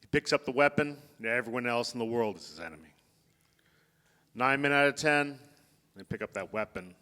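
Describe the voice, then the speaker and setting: A man playing a grave, weary army general in a live staged reading, speaking in measured, declamatory phrases. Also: mid-range voice, lively intonation, pushed and bright. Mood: grave, bitter. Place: theatre stage before an audience. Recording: live theatre stage, long hall reverb.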